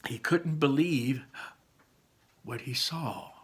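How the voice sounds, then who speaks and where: A man's voice: mid-range voice, takes it slowly, a bit bright, slightly rough. A man reading aloud to children as a storyteller, dramatic and emphatic, with simple explanatory asides.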